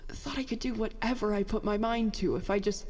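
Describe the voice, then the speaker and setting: An actress playing a bitter, self-doubting young petty officer in a halting, confessional monologue for a science fiction audio drama. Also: female voice, mid-range voice, steady tone, darkish tone, big-room sound, lightly acted. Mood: frustrated, wistful. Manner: halting, conversational, self-deprecating, mildly profane.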